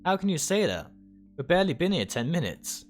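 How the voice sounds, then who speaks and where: An earnest, friendly young woman from a visual novel, voiced by a male reader. She coaxes and insists with animated, swinging intonation. Faint music sits behind the voice. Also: on the high side, fairly quick, voice pushed up. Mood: concerned.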